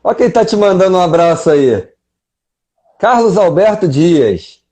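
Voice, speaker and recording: A man's voice: high voice, very animated, measured pace, dark tone. A man hosting a casual interview, talking in a slangy, joking, buddy-like way. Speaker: host.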